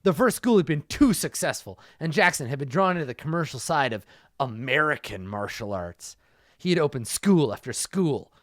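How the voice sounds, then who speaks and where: A man reads a story aloud and keeps breaking off to laugh and make profane, mocking comments about it. His fairly high voice swings widely in pitch. The sound is dry and close, with no room echo. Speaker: host reading a story aloud. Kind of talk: comedic reading with commentary.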